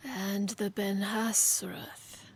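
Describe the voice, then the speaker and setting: Woman voices sinister robed figure of no clear sex or age; slow, hushed whisper, flat delivery. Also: mid-range voice, heavily acted. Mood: cold, measured.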